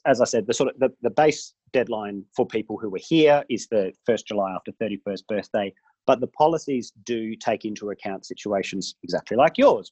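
A man, an official calmly explaining government health insurance rules in an interview, measured and careful. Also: fairly low pitch, husky, no echo.

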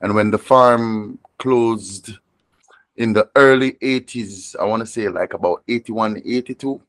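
Low-voiced Jamaican man, reflective. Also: measured pace, fairly bright tone, dry recording, poor audio. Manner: reflective, frequent restarts and repeated words. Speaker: reggae artist, guest. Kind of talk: conversational interview.

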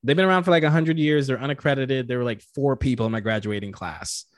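A man's voice: mid-range voice, quick pace, dry recording, clean studio sound. A young man from Tanzania, a podcast guest, telling stories in a laid-back, slangy way. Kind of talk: casual chat.

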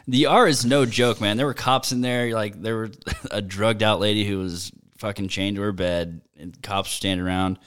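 Co-host, thirties male, low smooth voice, rambling comic story with swearing. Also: steady tone, normal speed, few pauses, a bit bright. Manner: storytelling, swears often, mimics voices in quotes. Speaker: podcast co-host. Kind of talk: casual comedic chat.

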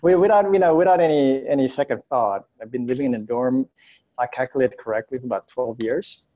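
Halting man on a slightly dull call. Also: mid-range voice, warm tone. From Indonesia, later United States. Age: 40s. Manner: halting, self-correcting. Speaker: interview guest, entrepreneur. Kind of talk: casual conversational interview. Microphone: remote call.

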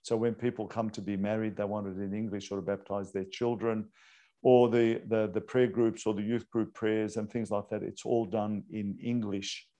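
Man in his sixties, priest, hesitant, lots of fillers, low pitch. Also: flat delivery, moderate pace, warm tone. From Australia. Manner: hesitant, many fillers and restarts. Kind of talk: unscripted explanatory talk.

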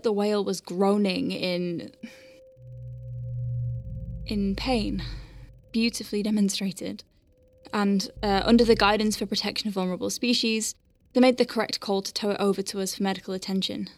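A woman's voice: mid-range voice, steady tone, moderate pace, long pauses, no room sound, close to an everyday voice. A woman playing a dry-witted, capable doctor on a space station, dictating clinical notes with wry conversational asides in an audio drama. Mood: brisk, wry. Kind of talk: science-fiction audio drama, spoken log.

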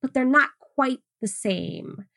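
A woman's voice: high voice, animated, takes it slowly, vocal fry, sharp tone, no echo, clean studio sound. A woman hosting a podcast, talking casually with fillers and laughing easily. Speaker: podcast host.

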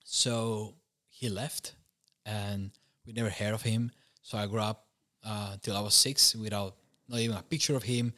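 A man's voice: fairly low pitch, steady tone, normal speed, bright, forceful tone. A young man from Costa Rica with a Costa Rican Spanish accent, a podcast guest telling his life story, laughing often and restarting phrases. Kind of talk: conversational interview.

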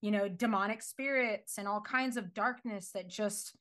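A woman's voice: mid-range voice, normal speed, a bit bright. A young woman telling her faith testimony in casual chat. She talks earnestly, laughs often and fills her speech with "like" and "you know". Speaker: guest sharing her faith story.

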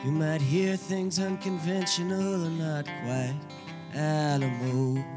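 A man's voice: on the high side, steady tone, takes it slowly. A man reading a rhyming podcast intro in verse, with guitar music behind him. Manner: reads rhyming verse, then breaks off swearing. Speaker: intro narrator.

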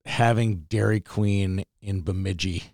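A man's voice: sits low, fairly even intonation, a little slow, darkish tone, no room sound, studio quality. A man with a Minnesotan accent co-hosting a sports podcast in loose, teasing, casual chat. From Minnesota, United States.

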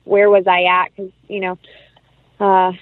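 A dry, narrow phone-like call carries a woman's voice at an average pitch. She talks as an actress being interviewed, chatty and informal, peppering her speech with casual fillers. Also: completely flat delivery, a little slow, no echo. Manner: chatty, informal, uses "like" and "you know" often.

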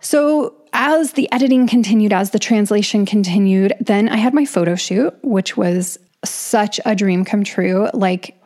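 A woman's voice: medium pitch, measured pace, hardly any pauses, darkish tone. Woman podcaster talking casually. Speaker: podcast host and author. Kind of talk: casual solo podcast monologue.

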